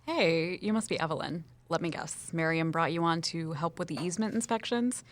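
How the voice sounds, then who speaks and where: A woman's voice: low-pitched, a little fast, lightly acted. An actress playing a breezy, friendly woman office colleague in a scripted audio drama, casual and playfully joking.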